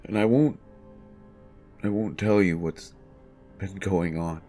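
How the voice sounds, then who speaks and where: Man acting a haunted, halting, deep-voiced writer. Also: dark tone, soft background music. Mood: grim, dread-filled. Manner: halting, stammering, repeating words. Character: haunted, distraught male writer jailed for his son's death. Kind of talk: dramatic first-person horror monologue.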